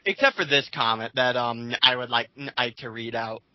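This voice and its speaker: Very bright-voiced young man, blunt and casual. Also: mid-range voice, normal speed, dry recording, low-quality recording. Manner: blunt, sweary. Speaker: commentator reading out online comments. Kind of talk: casual rant with read-aloud comments.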